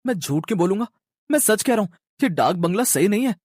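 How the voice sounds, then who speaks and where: A man's voice: high voice, animated, quick pace, a little mellow, no room sound, studio quality. A man playing a scared, insistent college-age son in a horror story, anxious and stammering. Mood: pleading insistence.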